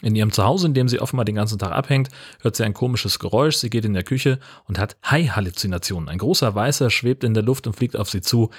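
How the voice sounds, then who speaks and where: A man's voice: fairly low pitch, quick pace, hardly any pauses, husky. A man co-hosting a film podcast with an informal, conversational style. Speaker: podcast co-host. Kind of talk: casual film podcast chat.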